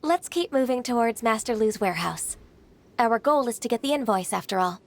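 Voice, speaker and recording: A woman voicing an earnest, polite but firm secretary in a video game, with measured, formal phrasing. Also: fairly high pitch, lively intonation, a little hiss or hum. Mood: gentle. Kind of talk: scripted video game voice acting.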